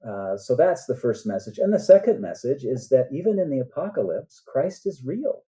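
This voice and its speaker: A man's voice: medium pitch, sing-song, normal speed, warm tone. An older man chatting casually as a guest author, rambling a little and often checking in with "right?". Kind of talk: casual interview chat.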